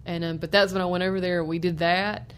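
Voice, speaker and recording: A young woman from North Carolina with a low voice, chatting casually as a guest musician. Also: steady tone, a little fast, clear, resonant tone. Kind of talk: casual interview chat.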